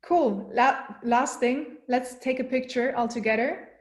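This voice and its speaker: Woman hosting a call in an echoey room. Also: sits high. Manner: friendly, brisk, short affirmations. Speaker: event host.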